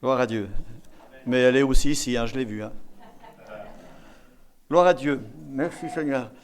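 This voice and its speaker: A man's voice: medium pitch, normal speed. A man from eastern France preaching a sermon as a visiting pastor, exhorting and repeating key phrases for emphasis.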